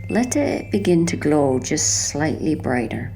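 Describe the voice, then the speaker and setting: Slow soothing lady doing sleep hypnosis, hushed n gentle, lots of vocal fry. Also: deep voice, very animated, faint music behind. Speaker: hypnotherapy narrator. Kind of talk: sleep hypnosis, guided relaxation.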